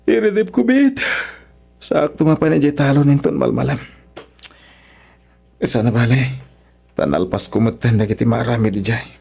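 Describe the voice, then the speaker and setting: A man's voice: medium pitch, expressive, normal speed, vocal fry, pauses often, warm tone, slightly rough. A man playing a humble, earnest young son-in-law of poor means in an Ilocano radio drama, respectful and hesitant, with an Ilocano accent. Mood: weary.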